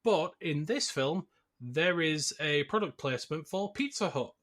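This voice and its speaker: A man's voice: a little high, lively intonation, unhurried, a bit bright. A man hosting a podcast, talking through a film in an informal, wry and casual way. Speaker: podcast host. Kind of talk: casual film discussion and plot recap.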